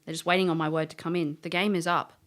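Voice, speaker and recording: A woman's voice: fairly low pitch, little pitch movement, fast talker, fairly bright tone, close to an everyday voice. An actress playing a world-weary female elf, a former thief, dry and blunt in an audio drama. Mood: firm, dry. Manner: dry, blunt, matter-of-fact.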